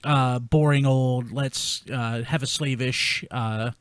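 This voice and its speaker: A man's voice: medium pitch, fairly even intonation, takes it slowly, clear, resonant tone, no room sound. A man hosting a casual chat in a wry, emphatic, stop-and-start way. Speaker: podcast host.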